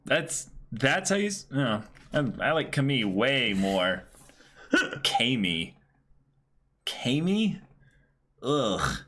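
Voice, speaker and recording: A man hosting a livestreamed reading, chatting casually and exclaiming in an animated way. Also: medium pitch, extremely slow, long pauses. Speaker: host of a livestreamed manga dramatic reading. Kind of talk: casual live stream chat with comic asides.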